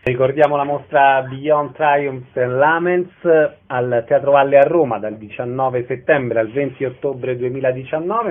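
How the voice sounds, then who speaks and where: A man's voice: medium pitch, narrow, tinny audio. A man hosting a radio programme, putting questions and making announcements in an orderly, presenterly way. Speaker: radio host.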